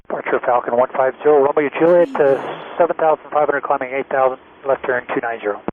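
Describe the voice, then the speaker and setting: A man's voice: mid-range voice, fast. A man making terse aviation calls over the radio. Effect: aviation radio transmission. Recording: tinny radio with faint noise.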